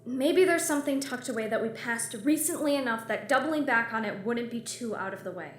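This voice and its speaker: A woman's voice: fairly high pitch, a little fast, lightly acted. A woman playing a sarcastic, slangy starship captain in a science fiction audio drama. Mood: decisive. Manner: casual, sardonic, slangy. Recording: roomy echo, faint noise.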